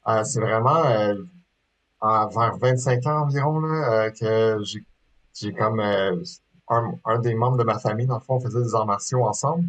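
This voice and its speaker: A man's voice: fairly low pitch, moderate pace, dull audio. A man in his thirties from Quebec with a Québécois accent, talking casually as an interview guest, hesitant with many fillers and restarts. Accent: Québécois French. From Quebec, Canada. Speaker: guest, sport shooter.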